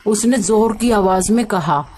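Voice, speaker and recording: A woman's voice: mid-range voice, a little slow, background noise. A woman reading a scripted story as its narrator.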